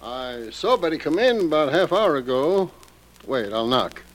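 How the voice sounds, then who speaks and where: An actor playing a brisk, wry male theater stage manager in an old radio drama. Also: a little high, big pitch swings, slight background noise, poor audio. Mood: brisk, businesslike. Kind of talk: 1940s radio acting.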